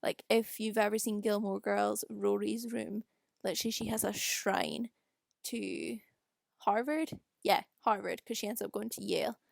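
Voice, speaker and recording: Young Scottish female creator, casual rambling voice-over, creaky edge, dry home recording. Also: mid-range voice, steady tone, measured pace, no room sound. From Scotland. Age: young adult. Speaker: video creator doing a voice-over. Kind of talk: casual unscripted rambling chat. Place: quiet room at home.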